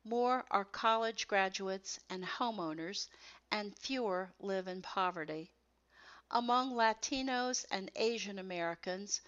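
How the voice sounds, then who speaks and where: Female narrator, mid-pitched, scripted textbook reading, measured, careful enunciation, slightly muffled lo-fi recording. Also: a bit bright.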